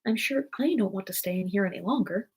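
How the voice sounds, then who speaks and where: A woman's voice: mid-range voice, lively intonation, quick pace, slightly rough. A woman voicing a thoughtful little girl from a storybook, wondering and debating with herself.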